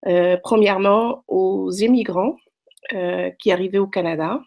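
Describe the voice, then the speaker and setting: A woman's voice: mid-range voice, a little slow, darkish tone. A middle-aged woman from Morocco, a French tutor introducing herself in a hesitant, unscripted way with many fillers. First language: French, also speaks Arabic. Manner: hesitant, frequent fillers and restarts. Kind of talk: self-introduction to prospective students. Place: Quebec, Canada.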